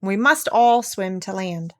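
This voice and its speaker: A woman voicing a kindly, fretful gentleman doctor in a storybook. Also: medium pitch, animated, unhurried, dry recording, studio quality. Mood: flustered. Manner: mild and fretful.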